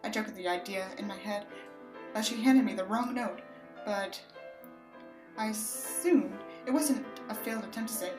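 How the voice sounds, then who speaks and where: A woman's voice: medium pitch, moderate pace, long pauses, reverberant, over music. A woman narrating a book expressively, with sardonic, exasperated asides as a commentator on what she reads. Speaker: audiobook reader and commentator.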